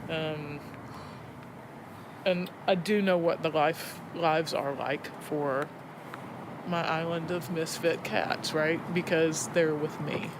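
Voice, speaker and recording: A woman's voice: low-pitched, fairly even intonation, slow pace. A woman talking casually and emphatically as a poetry teacher in an interview. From Alabama, United States. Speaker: poetry teacher and prison arts program head. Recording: location recording with clear vehicle and outdoor noise.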